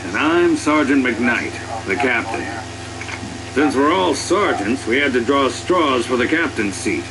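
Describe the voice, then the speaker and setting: An actor playing a wry, grumbling tank commander sergeant who narrates a game cutscene in slangy, sardonic words. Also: male voice, medium pitch, lively intonation, unhurried. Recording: engine-like noise behind the voice.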